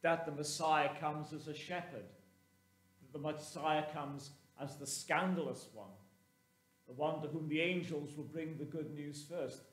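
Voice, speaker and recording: A man in his sixties preaching a sermon as a clergyman, with measured, emphatic phrasing and pauses, recorded inside a church. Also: fairly high pitch, steady tone. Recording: roomy, echoing church sound.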